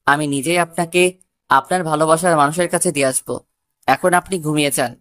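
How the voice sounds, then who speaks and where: A woman's voice: low-pitched, a little fast, a bit bright, no echo, studio quality. A woman narrating a scripted first-person love story.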